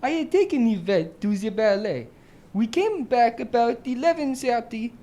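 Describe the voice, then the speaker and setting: Woman as mellow-voiced French duke on trial. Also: a little high, lively intonation, normal speed, lightly acted. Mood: sober, recounting. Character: male French duke, gentlemanly witness giving testimony. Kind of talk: student radio drama acting.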